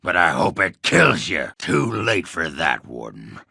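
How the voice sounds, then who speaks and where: An actor playing a gruff, crude, boastful dwarf berserker in a fantasy video game, with a rough, husky voice and a blunt, swaggering manner. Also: male voice, low-pitched, huge pitch swings, measured pace, pushed and bright, no echo, clean studio sound, exaggerated voice. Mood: angry, bitter.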